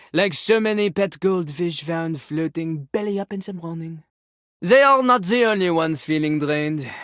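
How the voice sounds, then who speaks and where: An actor voicing a pompous, sassy talking toilet who raps in a theatrical French accent, boasting haughtily in a video game. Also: male voice, high-pitched, fairly bright tone, no echo, studio quality, heavily acted. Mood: offended, scornful. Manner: haughty, third-person boasting, theatrical flourishes. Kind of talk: video game character voice acting, partly rapped.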